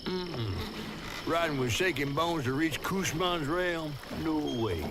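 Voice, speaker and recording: An actor playing a folksy, grave Southern storyteller of swamp legends in a video game, with music underneath. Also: male voice, fairly high pitch, animated, slow pace, background noise. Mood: wary warning.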